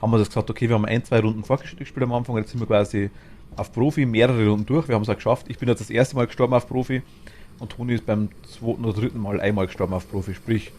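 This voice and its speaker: A man's voice: fairly low pitch, fairly even intonation, rapid-fire, a little mellow. A man with a Bavarian accent chatting casually while streaming a game, rambling and murmuring to himself as he goes. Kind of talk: casual gaming chat.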